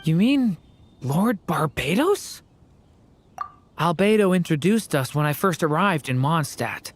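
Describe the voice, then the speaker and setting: A man voicing a young, self-assured, courteous male dragon in a video game, with a measured, slightly smug manner. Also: fairly high pitch, expressive, slight background noise.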